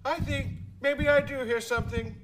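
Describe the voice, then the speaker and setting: A woman playing an excitable, mocking police constable with a brash, teasing manner in a radio drama. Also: high voice, normal speed, lightly acted.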